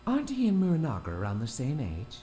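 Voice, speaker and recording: A man's voice: mid-range voice, huge pitch swings, fast talker, dark tone, a little hiss or hum. An actor playing a smug doctor in his fifties who talks with prim, mock-polite courtesy. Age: 50s. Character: smug older male doctor, oily and condescending. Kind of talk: audio drama acting.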